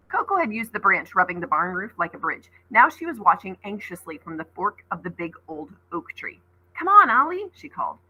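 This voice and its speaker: A woman teacher reading a novel aloud to students, expressive, stopping for short explanatory asides. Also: mid-range voice, slightly rough, low-quality recording. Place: school classroom.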